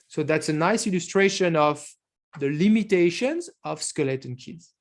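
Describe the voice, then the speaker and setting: A man's voice: fairly high pitch, lively intonation, moderate pace. Middle-aged guy lecturing over a webcam, explains step by step, restarts a lot. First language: French. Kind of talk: online lecture.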